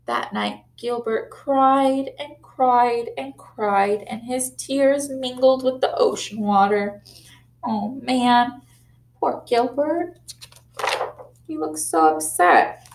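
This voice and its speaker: A young woman teacher reading a picture book aloud to young children, animated and sympathetic, on a close webcam in a classroom. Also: high-pitched, slow, creaky, a little hiss or hum. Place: classroom, indoors. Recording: roomy classroom echo.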